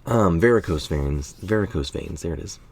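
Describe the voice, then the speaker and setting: Casting: tired man, middle-aged, low creaky voice, informal article reader who drops in offhand remarks. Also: animated, normal speed, darkish tone. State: tired late at night. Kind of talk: reading an article aloud with casual asides.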